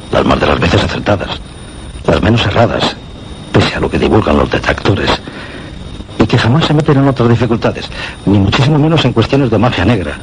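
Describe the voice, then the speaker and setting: A man's voice: low voice, slight background noise, rough recording. An actor narrating as a male meteorologist in a suspense radio drama, grave and ominous. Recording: radio drama with loud music bed.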